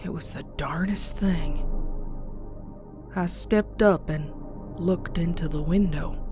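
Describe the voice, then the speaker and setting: A woman voicing a young country pony, frightened but stubborn, narrating a horror story in a hushed, folksy, suspenseful way. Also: lowish voice. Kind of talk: dramatic first-person horror narration.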